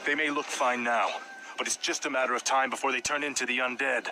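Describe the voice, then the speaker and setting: A man playing a proud, headstrong young prince in a video game cutscene, forceful and imperious. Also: medium pitch, fairly even intonation, fast, background noise, natural voice. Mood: grim urgency. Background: loud music.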